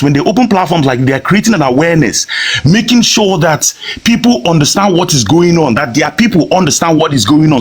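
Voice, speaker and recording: A man's voice: on the high side, slightly rough. A man from Biafra with a hard voice, arguing insistently and lecturing in a heated panel debate. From Biafra (southeast Nigeria). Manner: insistent, lecturing, repeats himself. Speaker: panel guest.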